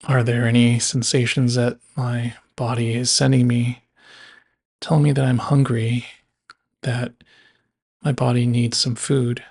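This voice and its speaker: A man guiding a body scan meditation in a measured way, leaving long pauses between phrases. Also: mid-range voice, flat delivery, vocal fry, darkish tone, breathy edge. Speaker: meditation guide.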